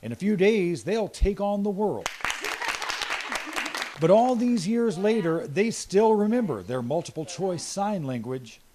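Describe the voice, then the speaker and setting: American man, TV feature narration, higher-pitched, very varied intonation. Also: very animated, measured pace, a crowd in the background, noisy background. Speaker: TV news reporter.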